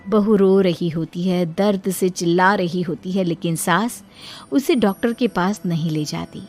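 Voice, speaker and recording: A woman's voice: fairly low pitch, unhurried, a little mellow, faint music behind. A woman storyteller giving a scripted, dramatic narration of a story.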